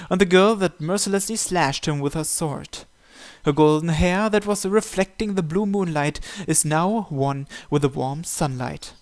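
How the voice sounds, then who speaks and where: Higher-voiced man narrating a visual novel. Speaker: channel host reading visual novel text aloud. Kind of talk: dramatic first-person scripted narration.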